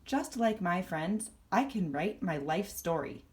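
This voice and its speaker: A woman reading a children's storybook aloud in a deliberate, expressive way. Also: lowish voice. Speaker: storybook reader.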